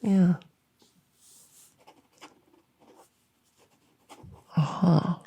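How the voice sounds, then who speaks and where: A woman playing an anxious, overworked but friendly monster under the bed in a comedic ASMR roleplay, rambling and stammering softly, often slipping into a whisper. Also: fairly low pitch, steady tone, takes it slowly, creaky, slightly rough, dull audio, full character voice. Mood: eager, scheming. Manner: rambling, nervous, stammering and repeating herself.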